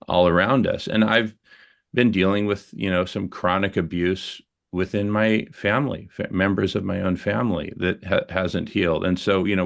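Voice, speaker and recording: A man sharing a personal story in a group conversation, earnest and reflective, halting with fillers and restarts. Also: low voice, creaky, fairly bright tone.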